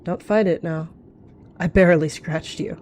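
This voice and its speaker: Woman voicing a low, curt rogue cat. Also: steady tone, moderate pace, a little mellow, close to an everyday voice. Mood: cold. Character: scheming, dismissive rogue cat.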